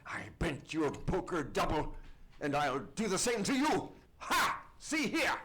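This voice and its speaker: Blustering, menacing radio villain, sharp and forceful. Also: male voice, fairly high pitch, wildly swooping pitch, measured pace, exaggerated voice. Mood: threatening. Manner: theatrical, threatening, emphatic. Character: menacing, blustering middle-aged doctor, a bullying villain. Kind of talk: old-time radio play acting. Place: live on a theatre stage.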